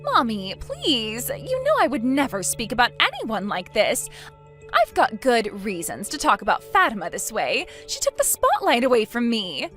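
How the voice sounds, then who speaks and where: A woman's voice: on the high side, wildly swooping pitch, moderate pace, a bit bright, faint music behind. A woman voicing a spoiled, self-centred young bride in melodramatic story dialogue, whining and indignant. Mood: whiny, pleading.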